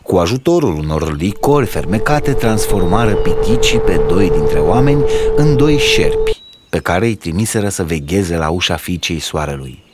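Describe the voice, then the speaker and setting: A man reading a folk tale aloud as a storyteller, in an expressive, dramatic storybook style. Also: fairly low pitch.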